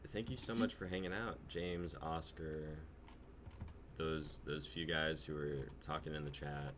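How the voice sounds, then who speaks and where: A man's voice: a bass voice, steady tone, unhurried. A young man hosting a livestream in casual chat. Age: young adult. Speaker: livestream host. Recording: clear noise, keyboard clicks, home stream.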